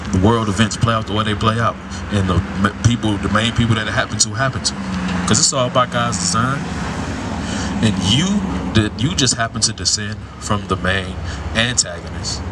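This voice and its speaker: A man preaching on the street to a passerby, lecturing and asking "right?" over and over. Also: lowish voice. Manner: lecturing, asks "right?" again and again. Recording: street noise, mic at a distance.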